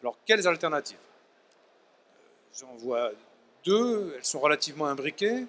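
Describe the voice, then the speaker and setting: A man's voice: fairly high pitch, big pitch swings, normal speed, fairly bright tone. A middle-aged man, a professor guest on a trade fair panel, talking articulately and gesturing as he speaks into a handheld mic. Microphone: handheld microphone.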